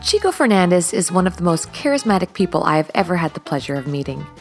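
A woman's voice: lowish voice, very animated, quick pace, loud music behind the voice. A woman hosting a podcast, reading a scripted introduction and then asking questions with enthusiasm. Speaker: podcast host.

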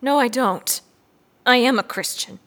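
A woman's voice: fairly high pitch, a little slow, fairly bright tone. A woman acting in a radio drama as an indignant, defiant grieving mother, blunt, sarcastic and confrontational. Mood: disbelieving, cold. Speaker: actor in radio play. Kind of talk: naturalistic audio drama acting.

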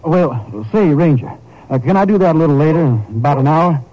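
An actor playing a folksy, hesitant rancher with colloquial country speech in a 1950s radio drama. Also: male voice, fairly high pitch, lively intonation, a little fast, dark tone. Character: folksy rancher, hesitant friend covering for someone. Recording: dull 1950s radio recording.

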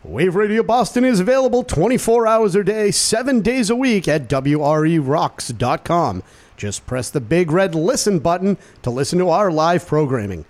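A man's voice: high voice, moderate pace. A man who calls baseball games, guesting on a radio show, chatting playfully and reading a station promo in a studio. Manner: animated, playful, self-deprecating. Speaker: sports announcer guest. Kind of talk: casual chat and promo read.

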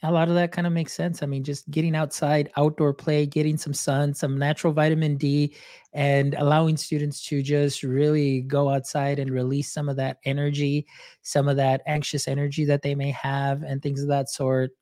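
A man's voice: fairly high pitch, completely flat delivery, moderate pace, dark tone, no room sound, studio quality. A man hosting a podcast, a native Spanish speaker, talking in a reflective, storytelling way with many fillers. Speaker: podcast host. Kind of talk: casual interview chat.

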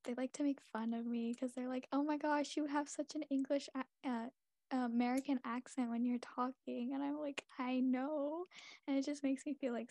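A teenage girl chats candidly on a podcast. Her voice is very high yet mellow. The mic sound is dry. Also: no room sound. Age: teens. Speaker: podcast co-host. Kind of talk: casual chat.

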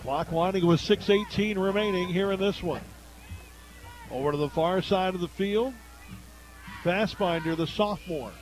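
A man's voice: sits high, moderate pace, pauses often, faint music behind, poor audio. An American man doing live radio play-by-play commentary of a football game. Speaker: play-by-play announcer. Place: at a high school football game.

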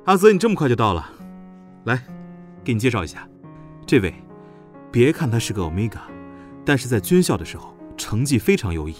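A young general in a modern audio drama , acted by a man. He is playful and teasing, and his pitch moves in an animated way. Soft music plays behind his voice. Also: fairly quick, long pauses, natural voice. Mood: friendly, earnest. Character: teasing young male Alpha general, under thirty, playful.

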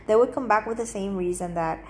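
A woman's voice: medium pitch, a little fast, a little mellow. A young adult woman who grew up in Romania and Indonesia, talking in a casual solo monologue, chatty and full of fillers and restarts. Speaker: podcast host.

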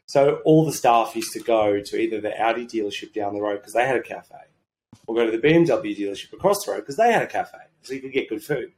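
A slightly croaky Australian man talking in a rambling, informal, mildly sweary way as a podcast guest. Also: on the low side, animated, fast talker, a little mellow, poor audio. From Sydney, Australia. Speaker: podcast guest, medical doctor and entrepreneur. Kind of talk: casual conversational interview.

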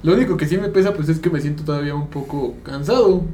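A man's voice: on the high side, fast, mellow, reverberant. A young Mexican man with a Mexican Spanish accent, telling a story in casual podcast chat and acting out the other people with laughter. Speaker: podcast co-host.

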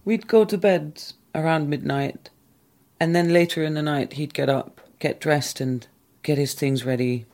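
Man, gravelly, reflective, measured, candid personal story. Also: a little high, a little mellow. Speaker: interviewee recounting a relationship.

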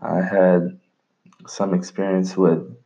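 Slow, mellow-voiced Brazilian young man. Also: deep voice, dull audio. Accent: Brazilian, with a North American tinge. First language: Portuguese. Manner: relaxed, rambling, swears casually. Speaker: podcast host. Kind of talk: casual solo podcast monologue.

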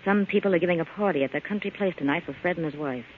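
An actress playing a hard, vengeful jilted club boss in a 1940s radio crime drama, curt and commanding. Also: female voice, fairly low pitch, rapid-fire, close to an everyday voice. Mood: cold, menacing. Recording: muffled, faintly noisy old radio recording.

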